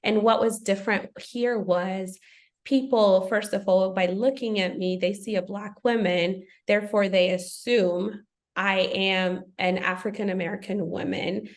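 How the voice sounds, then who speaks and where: A woman's voice: medium pitch, fairly even intonation, unhurried. A woman from Ethiopia, a podcast guest, speaking in a thoughtful, earnest way with frequent fillers. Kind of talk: podcast conversation.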